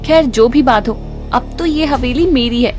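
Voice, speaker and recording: A woman's voice: high-pitched, normal speed, a little mellow, soft background music, close to an everyday voice. A woman voicing a curious 22-year-old heroine in a cartoon ghost story. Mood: puzzled, resolute. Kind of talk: animated horror story dialogue.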